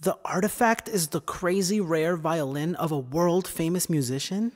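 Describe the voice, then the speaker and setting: A woman's voice: fairly low pitch, moderate pace, a little mellow, lightly acted. A woman playing a cheeky but polite young twin brother in an audio drama. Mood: cheeky, flustered. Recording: faint ambient background noise.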